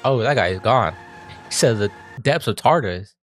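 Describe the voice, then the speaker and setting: A man's voice: fairly low pitch, lively intonation, moderate pace, soft background music. A man giving casual reaction commentary in a slangy, joking manner. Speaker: reaction video host.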